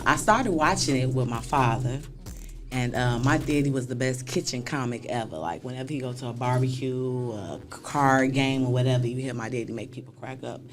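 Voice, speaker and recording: A woman in her thirties, a stand-up comic, telling her story in a relaxed, laughing way. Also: a bass voice. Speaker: guest comedian. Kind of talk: casual interview chat.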